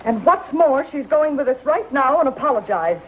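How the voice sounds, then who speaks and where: Brisk frontier townswoman, tinny old soundtrack. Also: high-pitched, big pitch swings, background noise. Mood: firm, brisk. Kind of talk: 1950s TV western acting.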